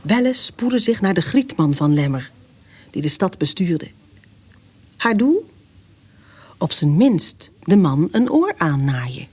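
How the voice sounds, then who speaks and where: An actress narrating a historical radio drama in a measured, literary storytelling manner. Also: female voice, lowish voice, big pitch swings, a little mellow, breathy edge, heavily acted. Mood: matter-of-fact. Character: storyteller of a historical smuggling tale.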